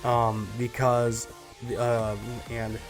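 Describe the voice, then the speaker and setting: A man's voice: medium pitch, monotone, very slow, faint music behind. Stuffed-up man rambling through a vlog. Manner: rambling, many ums and restarts, ranting. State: stuffed up, nasal from a cold. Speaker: YouTuber. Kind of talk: casual personal update vlog.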